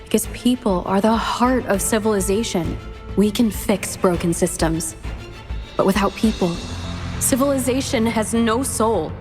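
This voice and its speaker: A woman playing a principled, humane leader in a video game, speaking in earnest, measured speeches. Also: medium pitch, music in the background, lightly acted. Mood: earnest, resolute. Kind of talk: scripted game voice acting.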